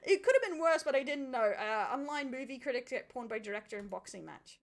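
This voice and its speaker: A woman's voice: high voice, animated, fast talker. A woman with an Australian accent giving casual, chatty live reactions as a streamer, giggly and blunt with her swearing.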